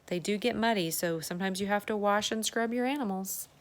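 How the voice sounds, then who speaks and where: A woman's voice: mid-range voice, a little fast. A woman reading a children's storybook aloud as a circle-time teacher, adding chatty asides, exclamations and questions for young listeners.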